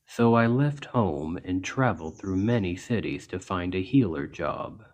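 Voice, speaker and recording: A man voicing a large, gentle orc healer in an ASMR roleplay, speaking in an earnest, measured and reassuring way. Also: deep voice, lively intonation. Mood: wistful, calm. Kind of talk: ASMR roleplay, soft storytelling to an injured listener.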